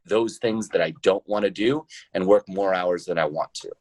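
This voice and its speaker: Deep-voiced man, casual interview guest. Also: normal speed, darkish tone, dry recording. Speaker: interview guest, podcast co-founder and voice actor.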